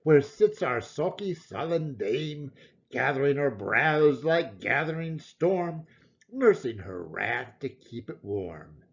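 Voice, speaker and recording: A man giving a theatrical, expressive reading of a narrative poem in Scots dialect. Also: medium pitch, slow, creaky, muffled recording. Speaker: poetry reader.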